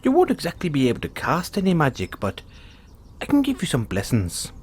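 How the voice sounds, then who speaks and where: A man's voice: fairly high pitch, huge pitch swings, a little fast, darkish tone, breathy edge, heavily acted. A man voicing a kindly, playful leprechaun in an audio roleplay, folksy and reassuring. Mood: cheerful, generous. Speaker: roleplay performer. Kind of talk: audio roleplay, speaking softly to one listener.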